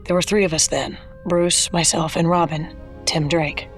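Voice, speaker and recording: A woman's voice: low voice, steady tone, moderate pace, soft background music, lightly acted. A woman playing a weary, guarded former crime fighter in an audio drama, subdued and serious. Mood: resigned, sombre.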